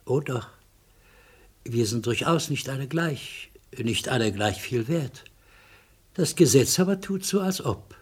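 Meek little man, extremely husky breathy voice, hesitant, courteous, stubborn, radio crime play. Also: medium pitch, lively intonation, measured pace. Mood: earnest, philosophical. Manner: apologetic, hesitant, softly philosophical. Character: meek, polite, stubbornly principled little man, murder witness.

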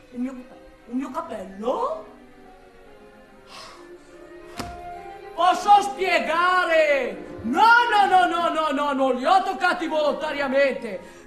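Woman acting high-pitched, blustering building foreman. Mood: panicked, flustered. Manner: agitated, rambling, self-interrupting. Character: blustering, defensive, foul-mouthed male building-site foreman. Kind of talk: theatrical radio drama monologue. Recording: echoey room, distant mic.

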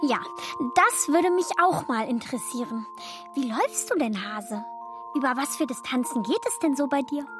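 An actress playing a teasing, curious animal from a fable in a radio play, with a playful questioning manner. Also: female voice, high voice, animated, normal speed, over music.

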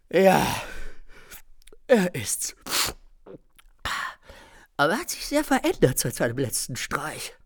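Wry officer, swooping intonation. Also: male voice, sits high, a bit bright, heavily acted. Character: brisk, matter-of-fact police officer, unimpressed by miracles. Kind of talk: German radio play acting.

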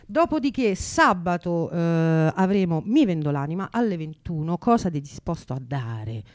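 A woman's voice: lowish voice, very animated, moderate pace, a little mellow, no room sound, studio quality. A woman from Italy hosting a radio show, announcing events in an informal, digressive and enthusiastic unscripted way.

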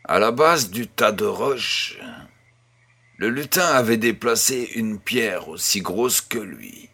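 A man playing a kindly grandfather who tells a bedtime tale in a measured, gentle, husky voice. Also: fairly low pitch, expressive, exaggerated voice. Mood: storytelling, wondering. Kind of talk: scripted audio storytelling.

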